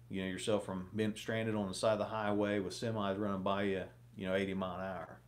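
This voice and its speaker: A man in his thirties talking casually to camera from a small home office, rambling with many fillers while giving fishing advice. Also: on the low side. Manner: rambling, lots of fillers, gestures a lot. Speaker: fishing vlogger.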